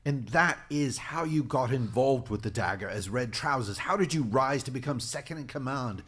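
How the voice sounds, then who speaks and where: A man plays an earnest investigator type with a husky, medium-pitched voice. He questions in a measured, serious way, as scripted for a comedy audio drama. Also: animated, fairly bright tone. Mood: puzzled, probing.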